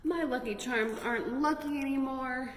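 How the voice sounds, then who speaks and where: A woman's voice: sits high, moderate pace, pitched above the performer's own voice, natural voice. A woman voicing a young, earnest female ladybug in a children's picture-book read-aloud. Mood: sad, discouraged. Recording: echoey, reverberant room with noticeable noise.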